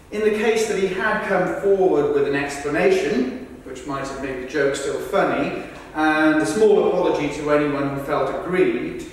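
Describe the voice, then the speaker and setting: A man performing a rehearsed reading of verbatim texts in a formal, deliberate, projected delivery. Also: fairly high pitch, lightly acted. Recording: echoey hall, far from mic, faint noise.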